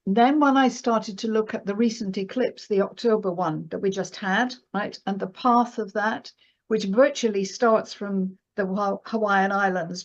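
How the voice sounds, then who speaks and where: A woman speaking as an expert guest in a conversational interview, emphatic and often checking in with the listener. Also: medium pitch. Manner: emphatic, checks in with "right?" and "you know". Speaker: guest astrologer and market analyst. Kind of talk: conversational interview discussion of financial astrology. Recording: dull, lo-fi audio.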